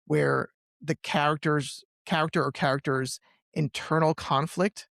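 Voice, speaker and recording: A man hosting a conversational interview, often halting and rephrasing his questions as he goes. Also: a little high, steady tone, gravelly, fairly bright tone, dry recording, clean studio sound. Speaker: podcast host and interviewer; film teacher.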